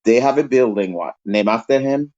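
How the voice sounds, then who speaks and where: A man's voice: mid-range voice, moderate pace, a little mellow, highs cut off. A man from Haiti, speaking as a panel guest and sharing strong opinions in a long-winded way, often checking in with "okay?". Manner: long-winded, frequent "okay?" tag questions. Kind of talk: opinionated call-in talk.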